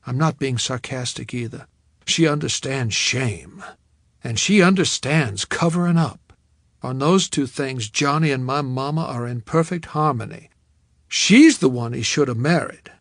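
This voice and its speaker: A man's voice: medium pitch, a little slow, dry recording, studio quality, natural voice. A man voicing a frank, wry young Southern woman, with a faint Southern accent, in an audiobook reading. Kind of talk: audiobook character voice.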